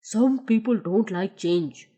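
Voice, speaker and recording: A woman voicing a kindly, capable male mechanic, talking in a deep, slow and measured way. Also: mellow, husky. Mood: calm.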